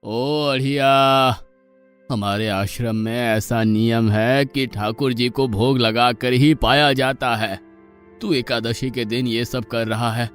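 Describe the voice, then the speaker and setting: A man playing a stern, pious old ashram guru in an animated moral story, admonishing and patient but exasperated. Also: mid-range voice. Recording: music bed behind the voice.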